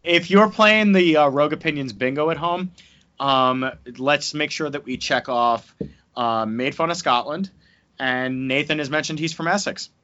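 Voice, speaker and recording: A man with a New Jersey accent, co-hosting a casual podcast chat and breaking into loud outbursts. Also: on the high side, normal speed. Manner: excitable, bursts into shouting. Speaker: podcast co-host. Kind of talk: casual banter. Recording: dull lo-fi sound.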